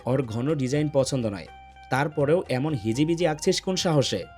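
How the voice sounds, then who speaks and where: A man's voice: medium pitch, moderate pace, a little mellow, close to an everyday voice. A man playing a bossy, teasing young man in an audio love story. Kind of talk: Bengali audio story acting. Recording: music behind the voice.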